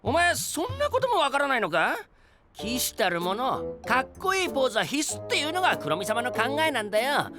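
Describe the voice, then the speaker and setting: An actor playing a cocky, boastful boyish would-be knight, showy and bragging, in an anime voice drama. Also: male voice, extremely high pitch, huge pitch swings, a little fast, music in the background, full character voice. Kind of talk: animated anime voice drama acting.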